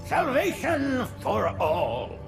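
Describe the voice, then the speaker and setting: High, creaky old alien prophet. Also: male voice, huge pitch swings, drawn-out words, heavily acted. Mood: pious, grandiose. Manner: florid, oratorical. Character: frail, sanctimonious old alien prophet, grandly pious. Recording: music behind the voice.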